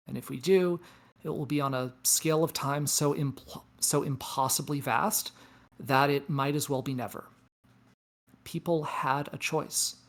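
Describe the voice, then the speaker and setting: A performer in a table read playing a weary, knowing space probe, speaking in a measured, deliberate, philosophical way. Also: medium pitch, natural voice. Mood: resigned, then solemn. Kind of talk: table read of science fiction script. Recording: music behind the voice. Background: music.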